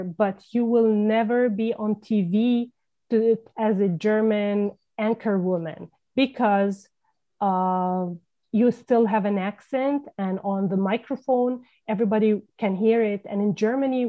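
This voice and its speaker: A woman with a Levantine Arabic accent recounts her path into teaching in an unhurried, halting way. Fillers and restarted phrases run through her speech, and the pitch sits at a middle level. The sound is dull and lo-fi, like remote call audio. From Palestine. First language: Palestinian Arabic. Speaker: language teacher and former journalist, guest. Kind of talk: conversational podcast interview.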